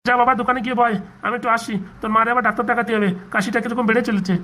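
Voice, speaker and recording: A woman's voice: a little high, fairly even intonation, racing, pushed and bright. A woman playing a humble, worried village father in a comic cartoon, hesitant and deferential. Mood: content.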